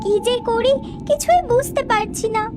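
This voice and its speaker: An earnest, caring young fairy girl from a children's cartoon, played by a woman, has an extremely high, childlike pitch. Loud music runs behind the voice. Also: moderate pace. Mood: urgent, despairing.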